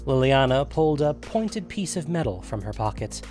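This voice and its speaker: Measured male fantasy story narrator. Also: medium pitch, big pitch swings, darkish tone, faint music behind.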